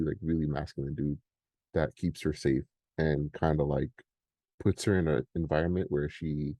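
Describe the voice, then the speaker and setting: A man's voice: sits low, mellow, no room sound. A young man co-hosting a podcast, talking in a loose, rambling way with lots of fillers. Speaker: podcast co-host. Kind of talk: casual commentary chat.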